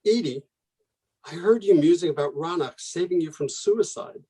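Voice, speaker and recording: A man playing a familiar, teasing young army officer in a radio play, with a soft-edged husky voice. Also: a little high, lively intonation, moderate pace, low-quality recording. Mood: brisk, teasing. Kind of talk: dramatic radio play acting.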